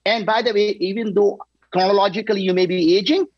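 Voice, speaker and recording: Man, 60s, founder type, eager pitch, husky tone, muffled dry audio. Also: fast, no echo. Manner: energetic, emphatic, repeats "right?". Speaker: company founder guest. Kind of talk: enthusiastic pitch on a talk show.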